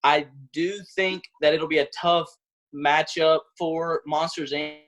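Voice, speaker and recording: A man's voice: fairly high pitch, fairly even intonation, takes it slowly, a bit bright, low-quality recording. A man giving sports-style commentary as an analyst on a mock selection show.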